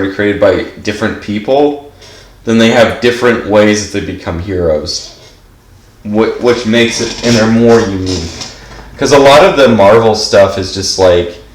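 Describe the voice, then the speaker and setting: Stuffy-nosed guy streaming a game, lowish voice, says like a ton, echoey room. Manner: rambling, fills speech with "like". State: stuffed up from allergies. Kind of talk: casual gameplay commentary.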